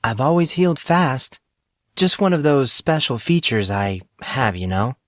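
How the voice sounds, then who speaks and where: A man's voice: medium pitch, very animated, unhurried, no echo, studio quality. A man voicing a friendly teenage boy with a casual, playfully gallant manner in an audiobook. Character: friendly, courteous, self-deprecating teenage boy student.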